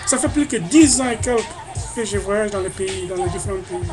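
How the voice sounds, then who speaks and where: A man's voice: high voice, animated, brisk, a crowd in the background. Indignant man on a webcam, music behind. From Africa. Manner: emphatic and indignant, repeats phrases. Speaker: vlogger. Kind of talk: webcam monologue.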